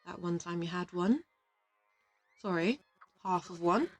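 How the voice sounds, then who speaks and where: A woman's voice: fairly low pitch, measured pace, soft background music. A woman playing a confident, teasing interrogator from an assassin team in an audio roleplay, affectionate and boastful. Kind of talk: audio roleplay addressed to the listener.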